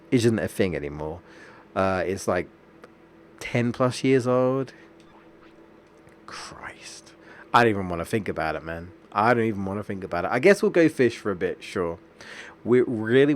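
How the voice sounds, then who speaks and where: A man with a stuffy nose from a cold, chatting casually and rambling as he streams a game. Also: fairly low pitch. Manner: rambling, chatty, restarts sentences often.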